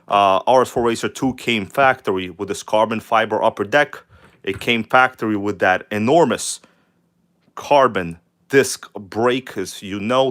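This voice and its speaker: A hoarse man with a cold hosts a live stream in a fairly low voice. He speaks, hyped and casual, calling out to viewers as he goes. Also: fairly bright tone. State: hoarse from a cold, nearly lost voice. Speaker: channel host. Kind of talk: live stream chat about RC cars.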